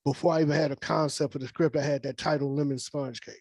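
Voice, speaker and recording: A man's voice: fairly high pitch, steady tone, fast, darkish tone, no echo, clean studio sound. An American man leading a script discussion, talking casually and conversationally and often checking that listeners follow. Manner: conversational, often checks with "you know what I'm saying". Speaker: scriptwriter leading a virtual table read. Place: indoors on a video call.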